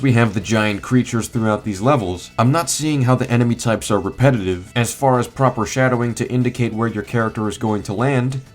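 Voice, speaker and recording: A man's voice: fairly low pitch, a little mellow. A man giving scripted, argumentative commentary as a video host, often posing pointed questions. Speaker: host of a video essay rebutting a game review. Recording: music behind the voice. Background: background music.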